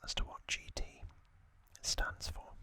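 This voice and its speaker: An ASMR host narrating in a breathy, unvoiced whisper, hushed and deliberate, with frequent pauses. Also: no room sound. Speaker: host of an ASMR trivia channel.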